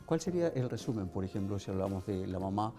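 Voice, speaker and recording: Mellow low-voiced Chilean male interviewer. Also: animated, fast talker, faint music behind. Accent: Chilean Spanish. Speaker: interviewer, TV host. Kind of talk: conversational interviewing.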